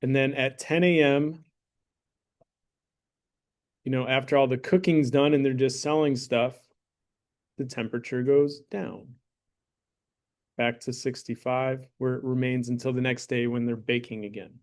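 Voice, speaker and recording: A man's voice: mid-range voice, steady tone, measured pace, long pauses, a little mellow. Male math teacher in a classroom, conversational and jokey, keeps asking "right?". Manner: joking, conversational, checks in with "right?" and "okay?". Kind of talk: classroom lesson with a mock sponsor plug.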